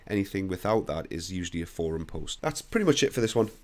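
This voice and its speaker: A young man with a croaky voice, bluntly talking through a CAD software screen recording. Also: sits low, big pitch swings, quick pace. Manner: blunt, opinionated, conversational. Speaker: CAD software tutorial host.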